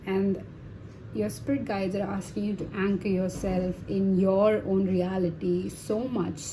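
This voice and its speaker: A woman's voice: medium pitch, flat delivery, measured pace, background noise. A woman giving a casual tarot reading to viewers, talking in a chatty, reassuring way with many fillers and tag questions. Her first language is Hindi. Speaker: tarot reader.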